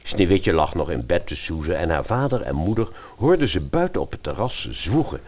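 A man's voice: sits low, very animated, moderate pace, a little mellow. A man narrating a scripted fairy-tale radio play as its storyteller.

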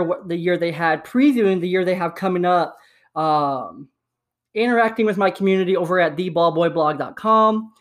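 Man with slight lisp, rambling podcast. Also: sits high. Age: 20s. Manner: upbeat, rambling, repeats himself. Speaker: podcast host. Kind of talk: casual solo podcast monologue. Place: at home. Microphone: USB desktop microphone.

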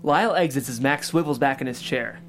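A man's voice: medium pitch, a little fast, fairly bright tone, slight background noise. A man who hosts a table read and reads out the stage directions. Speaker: stage directions reader and host. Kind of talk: scripted narration.

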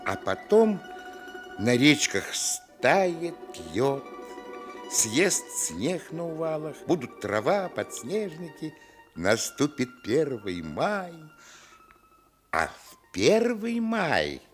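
A man acting as the reflective narrator of a radio play, recalling a village boyhood in lyrical, measured storytelling. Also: on the high side, big pitch swings, heavily acted. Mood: lyrical, wistful. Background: music.

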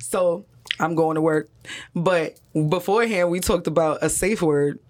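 A woman with a naturally deep voice, hoarse from smoking hookah, telling blunt, animated stories in a casual chat. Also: a little slow. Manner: blunt, animated, laughs often. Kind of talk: casual chat, explicit storytelling.

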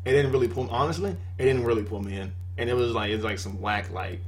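A man's voice: mid-range voice, quick pace, a little mellow, slight background noise. A man co-hosting a podcast, chatting loosely in slangy, rambling casual talk. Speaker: podcast co-host. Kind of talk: casual chat.

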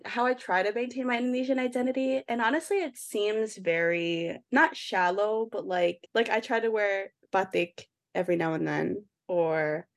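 A young woman in her twenties talks in a casual podcast conversation, chatty and quick to laugh. The sound is close and dry, with little room around her. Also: medium pitch, dry recording. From United States (Ohio). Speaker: podcast co-host. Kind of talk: casual chat.

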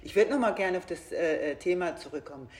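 A woman's voice: fairly low pitch, animated, quick pace. A woman coach with a German regional dialect, talking in a hesitant, thoughtful way with many fillers. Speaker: coach and podcast host. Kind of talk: casual conversation. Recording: echoey room.

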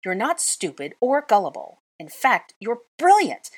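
A woman voicing an indignant, clever young witch who breaks into shrill, exasperated outbursts. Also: sing-song, moderate pace, breathy edge. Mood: furious. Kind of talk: audiobook character voice.

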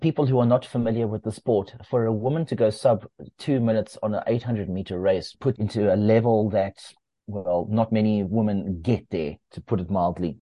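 A man who edits a running magazine, talking as a guest in a relaxed, explanatory way with plenty of fillers. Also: moderate pace, dry recording, low-quality recording. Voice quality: bass voice. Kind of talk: casual interview talk.

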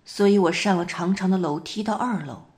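A woman playing a troubled half-French wife who recounts a recurring dream in a measured amateur radio play monologue, with deliberate phrasing and pauses. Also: lowish voice, darkish tone, lightly acted. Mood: weary, lost.

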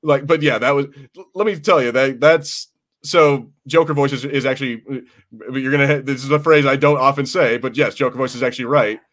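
An American man hosting a podcast in casual chat, animated and sweary, acting out imagined quotes as he goes. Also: medium pitch, fairly quick. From United States. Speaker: podcast host.

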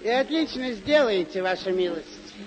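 An actor playing a simple, earthy, jovial peasant squire in a radio play, with a folksy, homely delivery. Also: male voice, sits high, expressive, normal speed, low-quality recording. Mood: eager, deferential. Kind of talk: vintage radio play acting. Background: prominent music.

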